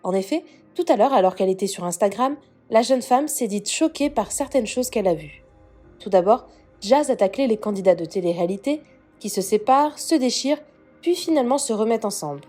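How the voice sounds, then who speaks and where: Casting: woman narrator, mellow tone, steady gossip-news read, music bed. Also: on the high side, a little fast. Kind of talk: scripted voice-over narration.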